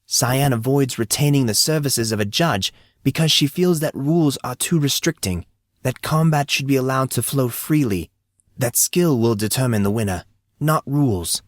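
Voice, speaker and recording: A man's voice: medium pitch, moderate pace, dry recording, clean studio sound, voice pushed up, lightly acted. A man voicing an amused, courteous school employee who speaks formally and precisely, patiently explaining. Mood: dryly amused.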